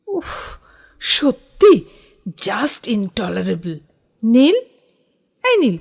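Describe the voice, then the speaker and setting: A woman's voice: a little high, very slow, creaky, slightly rough, exaggerated voice. A woman acting an exasperated but caring young wife and older sister in an audio drama. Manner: scolding, expressive.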